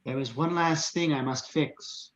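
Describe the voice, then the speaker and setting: A man voicing a powerful, solemn psychic creature with grave, measured pronouncements in a scripted table reading. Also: fairly high pitch, fairly even intonation, muffled recording, close to an everyday voice.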